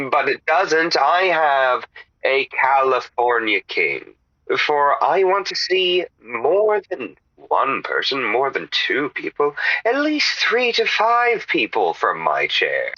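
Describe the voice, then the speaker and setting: A man's voice: medium pitch, sharp tone, no room sound, muffled recording, heavily acted. A man playing a pompous, randy caller to a radio advice show in a comedy audio drama, savouring his words theatrically.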